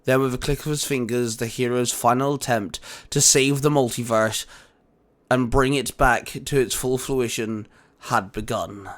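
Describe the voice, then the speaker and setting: A man's voice: mid-range voice, fairly even intonation, a little slow. A man reading scripted audiobook narration for a fan fiction adventure story.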